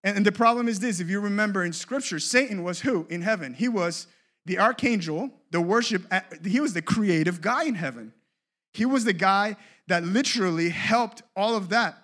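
A man's voice: sits high, normal speed, fairly bright tone. A man in his thirties from Colombia, a creative ministry leader giving a casual, joking workshop talk with many fillers and restarts.

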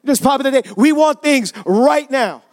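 A male pastor with a strikingly high voice delivers a sermon at a church service. He talks in an easy, conversational way and keeps prompting the congregation to answer him. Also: animated, moderate pace. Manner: conversational, asks the congregation for responses.

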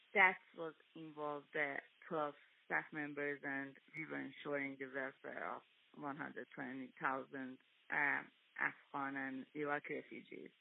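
A young woman from Iran with a Persian accent, a guest in a telephone interview, speaking with many pauses and fillers. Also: low voice, gravelly. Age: young adult. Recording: tinny phone line.

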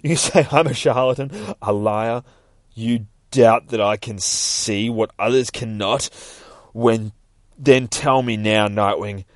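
A man voicing a smug, mocking villain, a crooked judge with theatrical, drawn-out taunts, in a comic-book story. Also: on the low side, no room sound. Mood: triumphant taunting.

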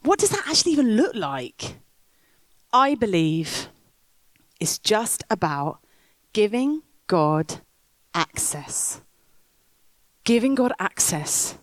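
A woman's voice: mid-range voice, very animated, takes it slowly, pauses often, dry recording. A woman preaching on stage, telling a story and rousing the crowd. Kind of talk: sermon with storytelling. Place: stage in a big top tent.

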